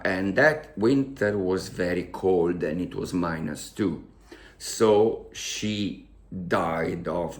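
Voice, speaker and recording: A man with an Italian accent telling a personal story to his viewers in halting, emotional English, repeating words as he searches for them. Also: low-pitched. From Italy. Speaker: vlogger. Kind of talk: casual storytelling to camera.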